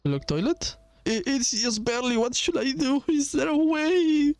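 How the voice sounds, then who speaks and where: A man giving casual, halting game commentary and reading dialogue aloud, with a bored, dismissive manner. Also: very high voice, dry recording. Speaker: gaming video host. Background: music behind the voice.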